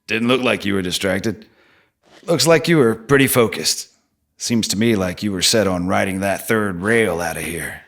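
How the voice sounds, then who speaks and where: An actor playing a blunt, needling, foul-mouthed streetwise stranger who lives in the subway, in an audio drama. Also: male voice, lowish voice, moderate pace, a bit bright. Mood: wry, probing. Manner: blunt, profane, probing.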